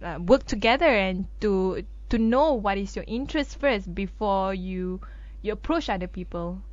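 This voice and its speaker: A woman co-hosts a radio talk show on regional affairs. She states her views firmly and explains them with homely comparisons. Her voice sits at a middle pitch in a dry studio, and the sound is slightly muffled. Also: moderate pace, no echo. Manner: opinionated, explains with everyday analogies. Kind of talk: current affairs discussion.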